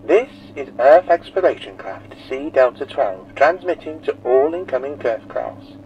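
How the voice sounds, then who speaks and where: A man's voice: mid-range voice, animated, measured pace, voice pushed up. A man voicing a dry, formal spacecraft crewman reading out a matter-of-fact warning through a radio effect. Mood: flat official. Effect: radio transmission filter. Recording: muffled, noisy radio message.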